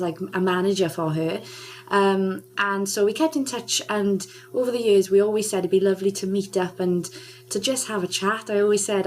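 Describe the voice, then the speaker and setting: A woman's voice: mid-range voice, slight background noise. A young adult woman guest on a radio interview, chatting with lots of laughter and filler "um"s. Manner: chatty, warm-hearted, laughs often, many "um"s. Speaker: guest singer.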